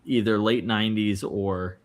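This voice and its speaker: A man's voice: on the low side, steady tone, unhurried. A man in his twenties chatting casually as a participant on a streamed panel. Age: 20s. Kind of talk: casual chat.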